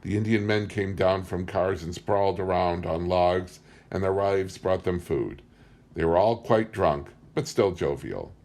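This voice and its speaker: A man's voice: sits low, measured pace, a little mellow. A man reading a book aloud as scripted story narration. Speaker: audiobook reader.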